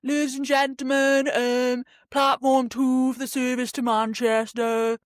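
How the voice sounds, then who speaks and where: A woman with a Welsh accent, imitating a formal train station announcer who reads a halting notice to passengers. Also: high-pitched, little pitch movement, clean tone, no room sound, studio quality, voice pushed up. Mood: officious.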